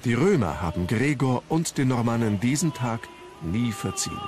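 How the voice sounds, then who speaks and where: Guy doing history doc narration, medium pitch, measured n formal, music going underneath. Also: huge pitch swings. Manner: measured, formal storytelling delivery. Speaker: documentary narrator. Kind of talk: scripted historical documentary narration. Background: background music.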